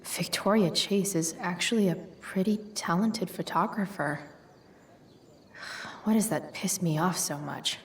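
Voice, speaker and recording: A woman's voice: lowish voice, a little slow, far from the mic, a little hiss or hum, close to an everyday voice. A woman acting a sardonic, rebellious American teenage girl in a video game, with a dry, slangy delivery. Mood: snarky. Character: sardonic rebellious teenage girl, a punkish high-school dropout.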